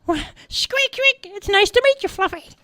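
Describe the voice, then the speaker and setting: A woman voicing a small, excitable, friendly mouse who squeaks and repeats words. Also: moderate pace, no echo, heavily acted. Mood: relieved, friendly.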